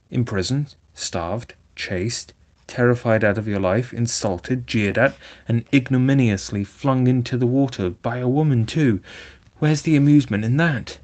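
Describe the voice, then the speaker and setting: A man's voice: medium pitch, darkish tone, natural voice. Stern, sensible storybook water rat, voiced by a man narrator; earnest scolding.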